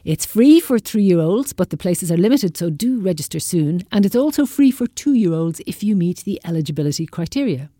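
Mellow woman, dry close sound. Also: fairly low pitch, animated, moderate pace, few pauses, no room sound. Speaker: community radio presenter. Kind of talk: scripted announcements of local events.

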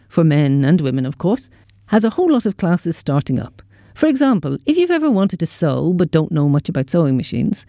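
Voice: mid-range voice, creaky, warm tone, no echo, studio quality. A community radio presenter reading a scripted events listing.

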